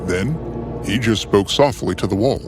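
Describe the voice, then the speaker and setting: A man narrating a scripted fantasy story in a theatrical, whimsical way with deliberate pauses. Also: lowish voice, background noise.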